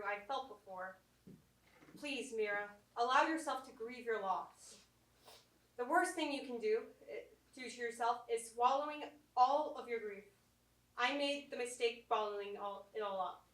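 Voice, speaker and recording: A woman acting as a bereaved mother with a high, bright voice, wounded and bitter toward her husband. Her stage-play delivery is sharp and accusing, heard from afar in an echoey theatre. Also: moderate pace, recorded from a distance, natural voice. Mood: sorrowful. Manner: sarcastic, accusatory, dramatic delivery. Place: small theatre, live stage performance.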